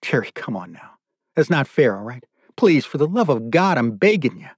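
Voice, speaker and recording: A man's voice: mid-range voice, lively intonation, normal speed, gravelly, slightly rough, dry recording, clean studio sound. Man acting desperate, defiant captive father. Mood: begging. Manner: pleading, bitter, swearing defiance. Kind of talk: audiobook character dialogue.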